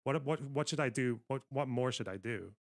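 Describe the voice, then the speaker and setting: A man with a middling-pitched voice speaks as a debate commentator. He is articulate and insistent, laying out his argument point by point. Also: normal speed.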